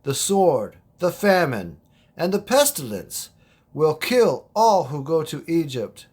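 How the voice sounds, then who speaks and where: A man acting a solemn prophet who delivers God's warning in a measured, declamatory way, in an amateur audio drama. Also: fairly high pitch, very animated, darkish tone, breathy edge, heavily acted.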